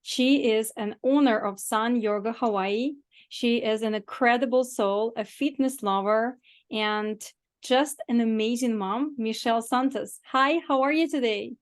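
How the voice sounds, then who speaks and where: A woman with a slightly high voice hosts a casual interview. She talks informally and is generous with compliments, gushing as she addresses her guest and listeners. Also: measured pace.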